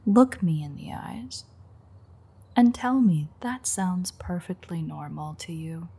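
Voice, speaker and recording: A woman playing a sardonic, teasing female vampire mechanic in an audio roleplay, with dry sarcasm and a husky, gravelly, breathy delivery. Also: fairly low pitch, lively intonation, takes it slowly, dark tone, heavily acted. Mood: playful sarcastic. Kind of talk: audio roleplay acting, intimate one-sided dialogue.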